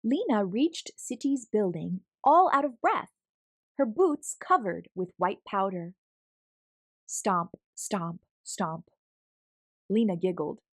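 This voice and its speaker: Woman, children's story narrator, animated delivery, widely swinging intonation, close dry sound. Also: medium pitch, no room sound. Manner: animated, deliberate storytelling with acted sound words. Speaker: storybook read-aloud host. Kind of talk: expressive children's picture-book narration.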